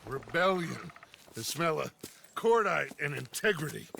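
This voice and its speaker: Theatrical, swooping American outlaw leader. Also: male voice, a little high, very animated, unhurried, vocal fry, pushed and bright, a little hiss or hum, exaggerated voice. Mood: sly, persuasive. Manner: theatrical, oratorical. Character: theatrical, grandiose middle-aged male outlaw gang leader. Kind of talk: dramatic video game acting.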